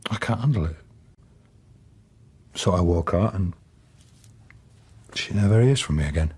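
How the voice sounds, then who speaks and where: Husky, halting father in his thirties. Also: sits low, expressive, darkish tone, exaggerated voice. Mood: guilty, tender. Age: 30s. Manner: halting, confessional. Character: guilt-ridden, halting father in his thirties, plain-spoken. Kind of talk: naturalistic radio drama acting.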